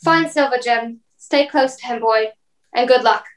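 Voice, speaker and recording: A brisk ship's captain, played by a woman with a high voice. The delivery is formal and authoritative, in radio play style, made for giving orders. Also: close to an everyday voice, low-quality recording. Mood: grave, decisive.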